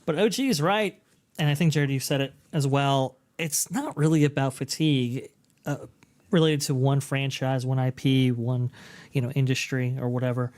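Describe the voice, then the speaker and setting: A man co-hosting a casual chat, talking in a measured way with many fillers. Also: mid-range voice, fairly even intonation, darkish tone. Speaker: podcast co-host.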